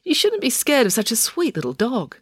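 Woman voicing a bright, prim teen girl. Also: lowish voice, sing-song, a little fast, no echo, clean studio sound. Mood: cheerful. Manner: bright, cheerful, a little prim. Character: cheerful, prim, self-assured teenage girl.

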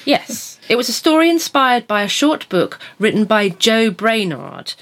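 A woman's voice: a little high, very animated, a little slow, a bit bright. A woman playing a magazine editor in a scripted comedy dialogue, blunt and sardonic.

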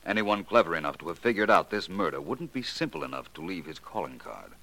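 An actor playing a suave, shrewd private detective in a 1940s radio drama, confident, unflappable and formal in manner. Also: male voice, low-pitched, big pitch swings, brisk, pushed and bright, exaggerated voice. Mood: probing, confident.

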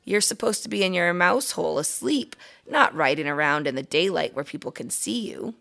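A woman's voice: on the low side, expressive, moderate pace, gravelly, fairly bright tone. A woman voicing a friendly, generous and slightly bossy young boy from a storybook, speaking frankly. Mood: cautioning. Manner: frank, scolding but good-natured.

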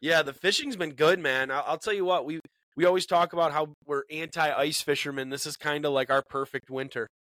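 A chatty, upbeat man hosts a casual podcast, his voice stuffed up from a cold. His tone is bright and forceful, and the sound is dry and close, with no room echo. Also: on the high side, fairly even intonation, fairly quick. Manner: upbeat, chatty, repeats words as he talks. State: congested from a cold. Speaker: podcast co-host, bait shop owner. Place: small studio in a bait shop.

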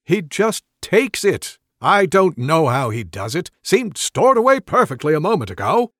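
A man voicing a jittery, easily panicked male wizard who talks in high, strained exclamations. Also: very animated, a little slow, fairly bright tone, no room sound, studio quality, exaggerated voice. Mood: exasperated.

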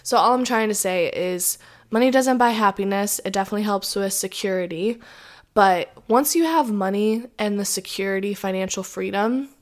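Raspy-voiced woman chatting on her podcast. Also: medium pitch, moderate pace. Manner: chatty, rambling, many "like"s and "um"s. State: raspy from a sore throat. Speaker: podcast host. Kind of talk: casual solo podcast chat. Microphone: podcast microphone.

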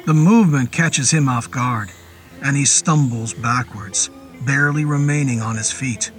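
A man narrating a scripted horror story in a measured, descriptive storytelling manner. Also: mid-range voice. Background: music under the voice.

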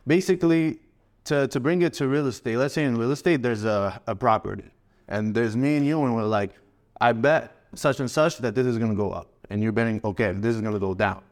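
A man in his thirties from Morocco, a guest trader answering in a relaxed, rambling way. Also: mid-range voice, brisk. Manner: relaxed, rambling, frequent fillers and restarts. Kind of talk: casual interview answers.

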